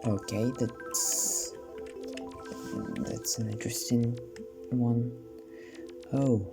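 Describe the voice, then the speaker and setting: A man talking slowly and unhurriedly through a gameplay session, his delivery dry and sardonic with a faintly glum edge. He hesitates often and fills gaps with ums and uhs. Game music plays clearly behind him. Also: medium pitch, flat delivery. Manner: sardonic, slightly down-sounding, hesitant with many fillers. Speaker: let's play gamer. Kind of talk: casual gameplay commentary.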